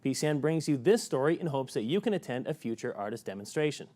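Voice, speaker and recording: A man reads a community news story in a smooth, scripted way. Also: medium pitch, expressive, fast, a little mellow. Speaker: news narrator. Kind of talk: scripted narration.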